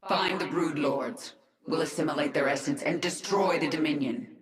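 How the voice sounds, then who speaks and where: A woman's voice: low-pitched, moderate pace, a crowd in the background, lightly acted. A woman playing a commanding, vengeful alien queen in a video game, giving terse, imperious orders. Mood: cold, commanding. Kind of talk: video game character dialogue. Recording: echoing effect, loud game music behind.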